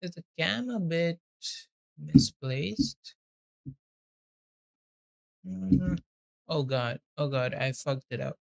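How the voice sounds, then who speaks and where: A man's voice: a little high, lively intonation, very slow, creaky, long pauses, no echo. A man doing casual live gameplay commentary, muttering to himself and swearing freely. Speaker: game streamer. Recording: game music behind the voice.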